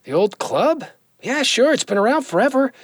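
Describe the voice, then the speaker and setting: A man's voice: extremely high pitch, sing-song, moderate pace, husky, no echo, studio quality, heavily acted. A man playing an eager, flippant younger police detective in an audio drama, casual and awkwardly teasing. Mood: casual curiosity.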